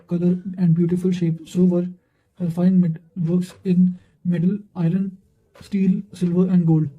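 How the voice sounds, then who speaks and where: A man's voice: sits high, dull audio. A man reading historical quotations aloud from a script in a formal, bookish manner, occasionally stumbling.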